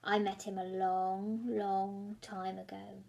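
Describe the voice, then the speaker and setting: A woman voicing a big, wary monster father in a children's storybook, speaking in a measured, ominous way. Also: mid-range voice, flat delivery, creaky, warm tone, highs cut off, pitched below the performer's own voice.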